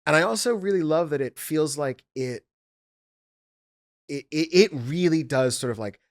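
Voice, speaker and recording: A man's voice: a little high, lively intonation, moderate pace, dry recording. Enthusiastic man in a close, dry recording. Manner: enthusiastic, emphatic, repeats intensifiers. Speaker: audiobook producer in a discussion. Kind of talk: casual chat about favorite comics and films.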